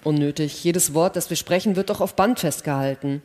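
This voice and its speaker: A woman's voice: low-pitched, a little fast, darkish tone. An actress playing a distrustful, rule-abiding female astronaut who speaks curtly and reproachfully in a sci-fi radio play. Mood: testy. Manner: curt, reproachful. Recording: long hall reverb.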